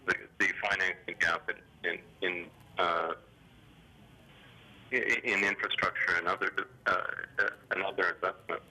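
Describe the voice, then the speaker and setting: A man giving formal, hesitant economic commentary in a phone interview, speaking in a measured, official way over a telephone. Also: sits low, flat delivery. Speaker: development bank official being interviewed. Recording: sharp, grainy telephone line.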